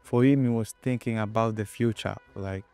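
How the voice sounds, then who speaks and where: Low, flat-voiced young Kenyan man. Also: normal speed, smooth, soft background music. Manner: hesitant, many fillers like "you know". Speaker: footballer guest. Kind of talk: interview answers.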